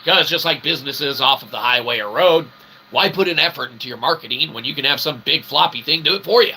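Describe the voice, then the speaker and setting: A man hosting an online show, delivering a rambling, wordy, mock-formal comic monologue with animated bursts. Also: medium pitch, fast talker, slight background noise. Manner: mock-formal, wordy phrasing with frequent check-in tags. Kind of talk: rambling comedic monologue to a livestream chat.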